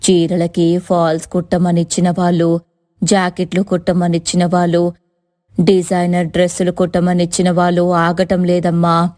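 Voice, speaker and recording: A woman voicing a weary, pleading middle-aged village mother-in-law in an animated story, coaxing and complaining in drawn-out phrases. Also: lowish voice, pitched below the performer's own voice, lightly acted. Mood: coaxing, worried.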